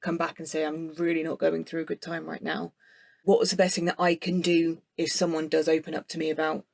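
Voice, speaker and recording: A podcast host asking interview questions with careful, measured phrasing. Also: mid-range voice, completely flat delivery, gravelly, dry recording.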